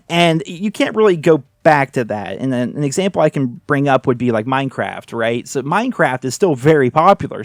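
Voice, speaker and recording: A man's voice: a little high, dry recording, studio quality. A young man chatting casually as a gaming YouTuber, rambling and candid with lots of "you know" and "right". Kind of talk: casual commentary video.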